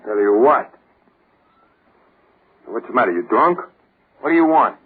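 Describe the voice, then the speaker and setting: A man's voice: mid-range voice, expressive, unhurried, slightly rough. An actor playing a blunt, irritable man woken at night in old radio drama, grumbling. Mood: irritated, puzzled. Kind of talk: 1940s radio acting. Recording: tinny, noisy old radio broadcast.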